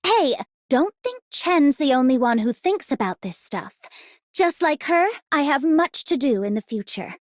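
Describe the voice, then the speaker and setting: A woman's voice: high-pitched, big pitch swings, measured pace, no room sound. A woman voicing a proud, determined young policewoman in a game, with an assertive manner. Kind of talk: scripted game character voice line.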